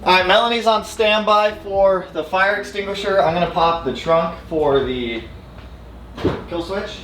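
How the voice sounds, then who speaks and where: A man's voice: high voice, a little slow, fairly bright tone, a little hiss or hum. A man casually explaining car repair steps as he works, upbeat and plain-spoken, in a garage. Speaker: car modding vlogger. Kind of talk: casual hands-on narration. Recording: clear background music over voice.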